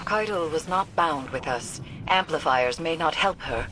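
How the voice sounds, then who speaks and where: A woman's voice: low voice, lively intonation, a little slow, background noise. A woman playing a grave, cautioning mystic ally in a video game, speaking solemnly. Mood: grave, concerned. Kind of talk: dramatic video game acting.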